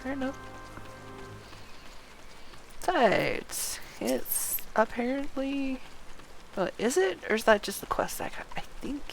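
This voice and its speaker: A woman streams a game with casual, off-the-cuff commentary. She talks slowly, half to herself, reacting aloud as she goes, with soft music playing behind her. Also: high-pitched, animated, vocal fry. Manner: reacts aloud, muttering to self.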